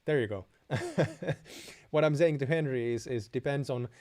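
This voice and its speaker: A man's voice: mid-range voice, animated, unhurried, darkish tone. A young man from Finland with a Finnish accent, a native Finnish speaker hosting a live Q&A stream, giving blunt, direct advice with many restarts and small chuckles. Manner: chuckles between answers. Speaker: host.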